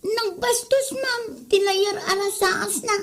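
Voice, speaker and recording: A woman's voice: very high-pitched, takes it slowly, heavily acted. A woman with an Ilocano accent playing a whiny little schoolgirl who tattles to her teacher in a comedy drama. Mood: whining, aggrieved. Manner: whining, tattling.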